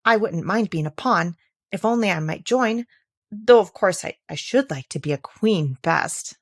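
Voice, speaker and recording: A woman's voice: mid-range voice, lively intonation, measured pace, no echo, clean studio sound. A woman voicing a curious, polite young girl in a storybook, with an earnest, wondering manner. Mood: excited delight.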